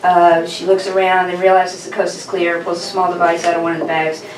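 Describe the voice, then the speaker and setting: A woman's voice: fairly low pitch, monotone, fairly quick, background noise. A woman reading stage directions as the narrator at an informal table read. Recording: distant echoey room mic, chatter behind.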